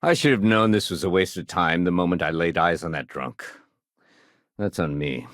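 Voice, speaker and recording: Low-voiced, level-headed security sergeant. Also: male voice, normal speed, a bit bright, no echo, studio quality. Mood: measured, matter-of-fact. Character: male space-colony security sergeant, dutiful, wry, level-headed. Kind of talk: video game character dialogue.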